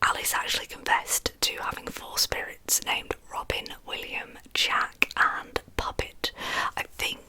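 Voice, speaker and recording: A woman with a lisp whispers softly and breathily as an ASMR host, telling true crime stories in an informal, chatty way. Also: measured pace.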